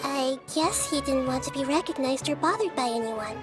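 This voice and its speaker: A woman voicing a gentle, wise young girl deity who speaks calmly and kindly. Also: high voice, moderate pace. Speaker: game character. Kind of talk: game voice acting. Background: game music.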